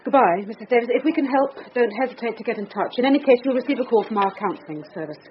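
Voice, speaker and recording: An actress playing a probing, wry police detective in a British radio drama, with a British accent. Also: female voice, fairly high pitch, fast, dull audio, slight background noise, close to an everyday voice. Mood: brisk, businesslike.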